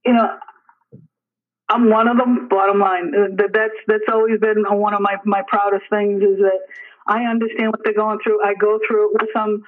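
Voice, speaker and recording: A man's voice: high-pitched, little pitch movement, moderate pace. A middle-aged man speaking earnestly and emphatically as an emergency dispatch leader answering interview questions, often repeating his phrases for weight. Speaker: emergency dispatch deputy superintendent. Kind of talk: interview answers, informal explaining. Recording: tinny narrow phone or radio line.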